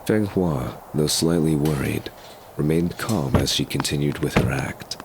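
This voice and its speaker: A man's voice: rumbling low, unhurried. A man reading scripted narration for an audio drama, telling the story in the third person. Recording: clear background noise under voice.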